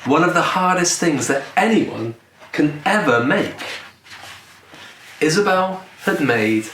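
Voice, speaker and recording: Male storyteller for a picture-book read-aloud: unhurried, lively intonation, deliberate pauses, recorded in a roomy space. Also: a little high. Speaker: children's author reading own book aloud. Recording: roomy echo, distant mic, faint noise.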